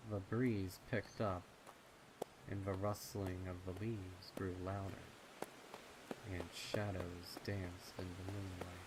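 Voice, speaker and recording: A man reading scripted dark fiction as narrator, in a measured, deliberate manner. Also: sits low, little pitch movement. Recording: noticeable ambient noise behind the voice. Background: ambient sound effects.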